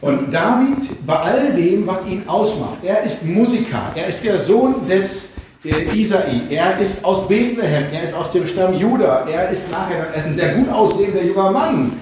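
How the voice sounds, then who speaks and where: A man delivers a reflective sermon with a warm, dark tone, often turning to his listeners with questions. He stands some way from the microphone, so his voice carries the heavy echo of a large hall. A faint hiss sits under the recording. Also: high voice, expressive, normal speed. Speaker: preacher. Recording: echoey room, speaker far from mic, faint noise.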